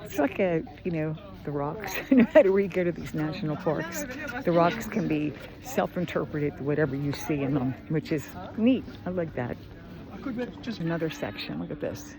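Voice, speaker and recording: A man's voice: fairly high pitch, moderate pace, crowd noise. A man with a Philadelphia accent who rambles chattily while filming a travel vlog on a mountain trail, talking into a handheld phone. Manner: chatty, rambling, easily impressed. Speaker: travel vlogger. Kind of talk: casual walking vlog narration.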